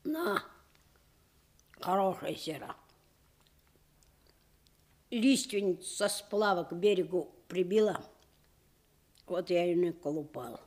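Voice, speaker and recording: A woman's voice: lowish voice, expressive, slow, long pauses, darkish tone. An actress playing a roguish, streetwise village boy in a radio play, talking in an offhand, folksy, boyish way.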